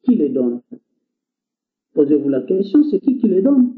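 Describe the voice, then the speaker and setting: A man's voice: sits high, sing-song, unhurried. A man from Congo, not a native French speaker, earnestly exhorting listeners on a call-in show with frequent hesitations. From Democratic Republic of the Congo. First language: Lingala or another Congolese language. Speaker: guest speaker. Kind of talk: impassioned call-in talk. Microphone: internet call. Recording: tinny phone-like line.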